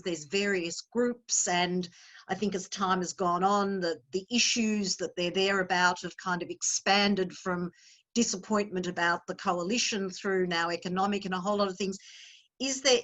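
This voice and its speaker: Woman interviewer, calm conversational questions, normal mid voice. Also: medium pitch. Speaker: interviewer, organisation president.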